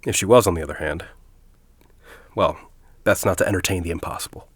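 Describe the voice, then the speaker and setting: A man playing a composed, persuasive university headmaster in an audio drama, speaking in a formal, deliberate way. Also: low voice, slightly rough, no echo. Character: composed, persuasive university headmaster, grieving a colleague, quietly calculating.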